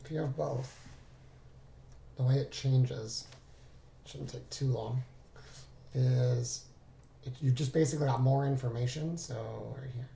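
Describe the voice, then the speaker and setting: A man speaks in a hoarse, gravelly voice with a soft, mellow tone, as if his voice is only just returning. He teaches informally in a halting, think-aloud way, talking through a shared screen. The recording has a noticeable room echo. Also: medium pitch, steady tone, a little hiss or hum. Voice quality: raspy. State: hoarse, recovering from laryngitis. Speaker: trading instructor reviewing charts. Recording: roomy, echoing voice recording.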